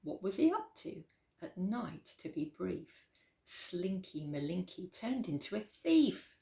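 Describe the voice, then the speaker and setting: A woman reading a rhyming children's picture book aloud as a storytime host, with expressive, dramatic emphasis. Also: fairly low pitch, slow pace, creaky. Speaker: library storytime reader. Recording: roomy, echoey indoor sound.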